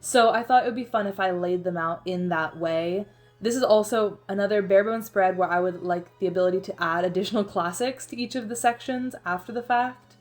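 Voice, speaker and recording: A woman's voice: medium pitch, a little fast. A young woman chatting casually as she narrates her own vlog, self-deprecating and full of filler words. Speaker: book vlogger.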